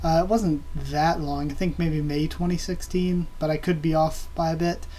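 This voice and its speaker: A host reviewing an anime episode in a casual, rambling way, their voice a bit raspy and quiet from a cold. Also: medium pitch, steady tone, moderate pace, slight background noise. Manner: rambling and casual, with many fillers and blunt swearing.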